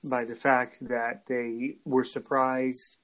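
A man from North America hosting a podcast interview, speaking in a halting way and often repeating words before finishing a thought. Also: mid-range voice, level tone, a bit bright. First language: English; French is his second language. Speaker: podcast host. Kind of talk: conversational interview.

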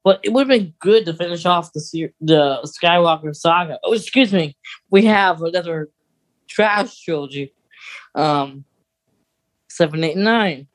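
Mid-pitched voice, podcast co-host, casual film chat, halting delivery, thinking aloud.

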